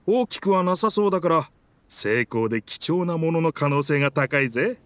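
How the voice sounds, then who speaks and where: An actor voicing a proud, dramatic talking pirate ship in an anime video game, in pompous, rough masculine speech. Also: male voice, fairly high pitch, expressive, normal speed, lightly acted. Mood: boastful. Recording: music behind the voice.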